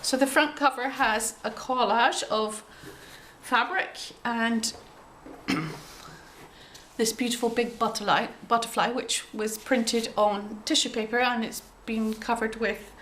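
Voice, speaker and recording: A woman with a cold, sounding a bit croaky, chatting gently through a show-and-tell of her handmade journal with frequent ums and throat clearing. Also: on the high side, fairly even intonation, takes it slowly, slight background noise. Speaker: craft journal maker showing her work.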